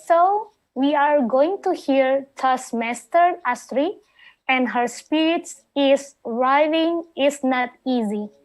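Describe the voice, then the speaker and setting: A woman formally introduces the next speaker at an online club meeting. She speaks slowly and evenly, with a fairly high voice, over a video call. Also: poor audio. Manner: measured, reads out the project details. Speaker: speech evaluator.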